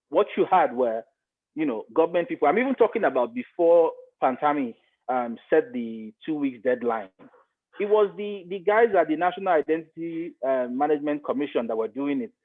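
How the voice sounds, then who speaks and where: A man with a Nigerian accent in a podcast discussion, explaining earnestly and repeating points for emphasis. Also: fairly high pitch. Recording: muffled phone-like audio.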